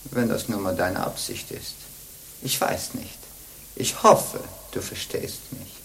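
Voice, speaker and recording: A woman's voice: extremely low pitch, huge pitch swings, slow pace, gravelly, slight background noise, full character voice, poor audio. An actress in a German radio play playing a sly old witch go-between, coaxing and chuckling in a theatrical way. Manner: wheedling, chuckling, theatrical swings.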